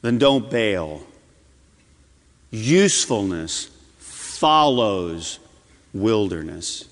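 Male pastor preaching a fervent sermon, lively voice, reverberant church sound. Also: on the low side, drawn-out words. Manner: impassioned preaching, swings between loud and hushed. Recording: long hall reverb.

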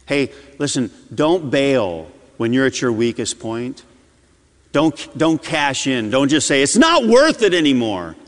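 Male pastor preaching a fervent sermon, lively voice, reverberant church sound. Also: mid-range voice, a little slow. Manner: impassioned preaching, swings between loud and hushed. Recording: long hall reverb.

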